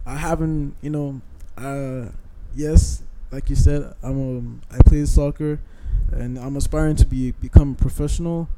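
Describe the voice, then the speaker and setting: A man's voice: mid-range voice, steady tone, unhurried, hardly any pauses, noisy background. A young man chatting casually as a podcast guest, hesitant and often repeating his phrases. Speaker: podcast guest, aspiring soccer player. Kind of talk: casual chat.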